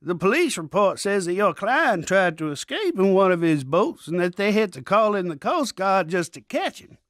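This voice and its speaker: An actor playing an earnest prosecutor in a video game, questioning a lawyer with probing, increasingly flustered questions. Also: male voice, high-pitched, sing-song, moderate pace, a bit bright, no echo, clean studio sound. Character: earnest, easily baffled male prosecutor.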